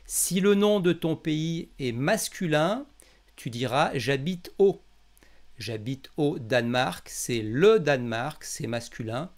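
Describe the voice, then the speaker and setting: French guy teaching online, kinda high voice, really slow n deliberate, spells out examples clearly. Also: animated. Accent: French from France. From France. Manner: didactic, deliberate, slowly enunciates example phrases. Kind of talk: instructional language lesson.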